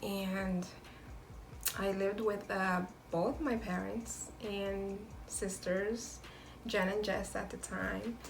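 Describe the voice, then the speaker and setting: A woman in her late thirties from New York, chatting casually as a guest and telling her life story in a soft, easygoing way. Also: medium pitch, steady tone, slow pace, creaky, echoey room, low-quality recording. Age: 30s. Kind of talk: casual chat.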